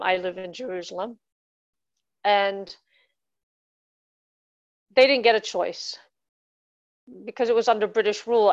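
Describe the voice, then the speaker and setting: A woman's voice: medium pitch, lo-fi sound. A woman in her fifties from Barbados, telling her life story to an audience in a conversational way with many ums. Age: 50s. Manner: conversational, frequent ums, unhurried. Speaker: speaker at a talk. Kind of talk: personal storytelling talk.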